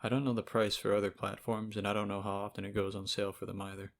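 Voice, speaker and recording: A man's voice: fairly low pitch, level tone, fast talker, creaky. A man giving casual, opinionated video essay commentary as a game reviewer, speaking informally with sarcasm and profanity. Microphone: cheap microphone.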